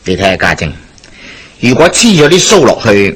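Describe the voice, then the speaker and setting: A man playing a confident schemer bent on justice in a Hong Kong Cantonese radio drama, explaining persuasively in a husky voice. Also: fairly low pitch, very animated, normal speed, fairly bright tone, full character voice.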